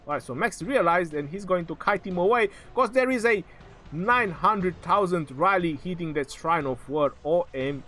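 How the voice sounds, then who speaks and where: A man calling a strategy game match live, in excitable, joking play-by-play, his voice going hoarse. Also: sits high, normal speed, soft background music.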